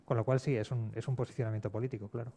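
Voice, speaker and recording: Mellow-voiced Spanish man, discursive. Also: mid-range voice, fairly even intonation, racing, husky. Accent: Spanish from Spain. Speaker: fiction scriptwriter and guest panelist. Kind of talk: conversational panel discussion.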